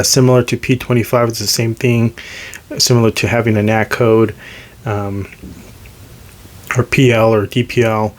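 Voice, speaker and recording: A man giving a casual, hands-on gadget demonstration as host, talking in a hesitant, unscripted, self-deprecating way. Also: mid-range voice. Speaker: host demonstrating radio gear.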